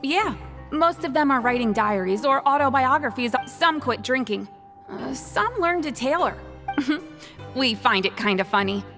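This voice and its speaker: A woman's voice: high voice, animated, unhurried. A woman voicing a friendly, contented prisoner in a video game, chatty and welcoming as she explains things. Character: friendly, contented adult woman prisoner, easygoing club member. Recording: game music behind the voice.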